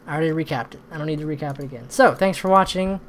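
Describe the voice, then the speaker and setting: A man doing casual let's-play commentary, thinking aloud in a rambling way, with a slightly hoarse voice. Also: a little high, rapid-fire. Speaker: host of a gaming channel. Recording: noisy recording with background ambience.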